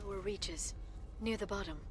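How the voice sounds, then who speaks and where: An actress playing an earnest, determined alien woman in a video game, with an expressive delivery. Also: medium pitch, moderate pace, noisy background, close to an everyday voice. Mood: calm, dry. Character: earnest, determined alien woman, anxious car-chase passenger.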